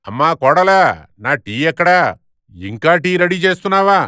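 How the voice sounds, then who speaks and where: Man acting as demanding father-in-law, high-pitched, smooth and clear, scolding, cartoon storybook style, dry studio sound. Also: big pitch swings, normal speed, bright, forceful tone, dry recording, heavily acted. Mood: bossy. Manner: bossy, scolding. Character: demanding, tradition-bound middle-aged father-in-law. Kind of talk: animated moral-story voice acting.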